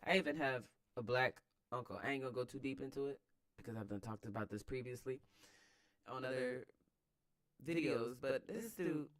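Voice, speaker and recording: A man's voice: medium pitch, moderate pace, a bit bright. An American man giving casual, opinionated commentary as host of a read-aloud, emphatic and slangy, repeating phrases for emphasis.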